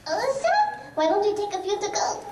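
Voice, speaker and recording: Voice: very high-pitched, sing-song, fairly quick. A performer voicing an eager, chatty country farm girl pony in a live comic dramatic reading. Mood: friendly, eager. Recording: echoey convention room, distant, crowd noise.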